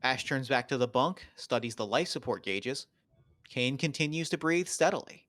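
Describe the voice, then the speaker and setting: A man's voice: mid-range voice, moderate pace, fairly bright tone, no room sound. A man reading stage directions as the narrator of a scripted table read.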